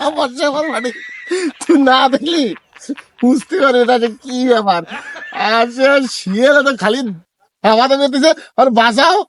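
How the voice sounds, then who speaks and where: A man playing a compulsive, excitable village storyteller in a comedy skit, insistent and repetitive, with a rural Bangladeshi Bengali accent. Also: extremely high pitch, normal speed. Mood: excitedly storytelling. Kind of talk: comedy skit acting with colloquial dialogue. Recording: clearly noisy recording with clicks.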